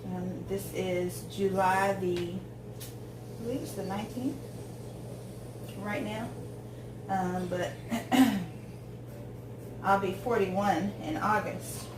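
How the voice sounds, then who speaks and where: A rambling woman in her forties talks while she cooks in her home kitchen, drifting between tangents with plenty of ums. She stands away from the camera, so her voice sounds distant and bounces around a roomy, echoey space. A steady layer of room noise sits under everything she says. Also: medium pitch, slow pace, long pauses. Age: 40s. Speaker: home cook vlogger. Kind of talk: casual chat while cooking.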